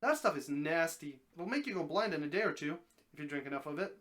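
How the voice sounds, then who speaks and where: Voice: mid-range voice, animated, fast talker, fairly bright tone, pitched below the performer's own voice, lightly acted. A reader voicing a talking snake from a story, helpful and wry, explaining things in a matter-of-fact way. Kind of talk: storybook dramatic reading.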